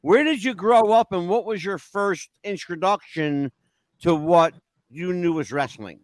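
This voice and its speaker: A man's voice: high voice, a little slow, no room sound. An older man from the Northeastern United States hosting a casual interview show, talking in a teasing, theatrical, joking way. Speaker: talk show host.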